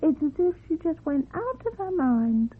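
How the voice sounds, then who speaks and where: A woman's voice: high voice, moderate pace. An actress playing a fussing, talkative, matter-of-fact landlady in a radio drama. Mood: flustered. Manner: talkative, animated. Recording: tinny narrow-band old radio recording.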